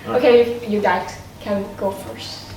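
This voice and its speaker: Halting teenage girl in echoey room. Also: mid-range voice, steady tone, mellow. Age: teenager, high school student. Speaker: student panelist. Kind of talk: casual discussion of school life. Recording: echoey room, distant mic, clear noise.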